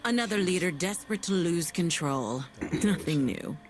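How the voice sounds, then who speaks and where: A woman's voice: lowish voice, animated, normal speed, over music, natural voice. A woman voicing a disdainful, bored and dangerous tormentor in a video game. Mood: cool disdain. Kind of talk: video game character voice acting.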